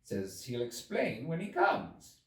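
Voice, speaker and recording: An excitable gentleman doctor from a storybook, acted out by a male narrator, pitched well above a normal male voice. He exclaims in drawn-out, astonished phrases, swooping widely, in a roomy space off the mic. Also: recorded from a distance, heavily acted. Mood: flustered, explaining. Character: excitable medical man, dinner guest, shrill and astonished. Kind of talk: dramatised audiobook character voice.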